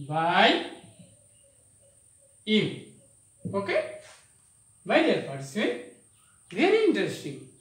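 Slow, echoey male teacher. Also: sits high. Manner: deliberate, repetitive, addressing viewers directly. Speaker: English grammar teacher. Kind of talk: whiteboard lesson to camera. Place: small indoor room at a whiteboard. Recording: very echoey room, distant-sounding voice.

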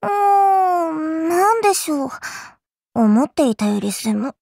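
A woman's voice: very high-pitched, big pitch swings, normal speed, creaky, a little mellow, no room sound, clean studio sound, exaggerated voice. A woman voicing a childlike, fairy-like game girl, cutesy and polite, with a sweetly teasing, faintly condescending manner. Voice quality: cutesy, girlish. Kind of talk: Japanese mobile game character voice lines.

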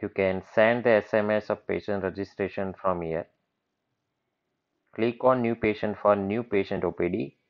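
A man giving a plain, matter-of-fact walkthrough of software over a screen recording. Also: on the low side, fairly even intonation, moderate pace, dull audio. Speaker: software demonstrator.

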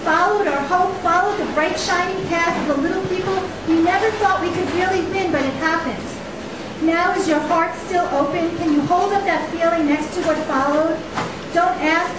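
A woman in her thirties giving a dramatic poetry reading, with emphatic, deliberate phrasing and rhetorical repetition. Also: extremely high pitch, fairly even intonation. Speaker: poet reading own work. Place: small indoor poetry venue on stage. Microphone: stand microphone, picked up by camera. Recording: echoey live room, distant camera, faint hiss.

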